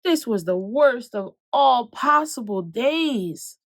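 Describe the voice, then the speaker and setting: Woman, children's book read-aloud, expressive storytelling, dry close sound. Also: fairly high pitch, no room sound. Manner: expressive, slows for calm moments, speeds up for excitement.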